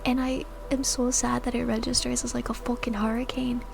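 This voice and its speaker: A woman streamer whispering soft, giggly ASMR chat to her viewers into a sensitive mic. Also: a little high, level tone, a little fast, vocal fry, noisy background. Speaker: VTuber streamer.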